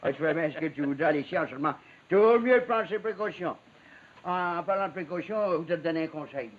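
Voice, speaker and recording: A man's voice: fairly high pitch, fast talker, close to an everyday voice. An actor playing a fatherly, meddling old woodsman who talks in emphatic, folksy Quebec French in a period television drama. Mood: insistent, advising. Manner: folksy, advice-giving, emphatic. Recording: muffled, hissy old 1950s television soundtrack.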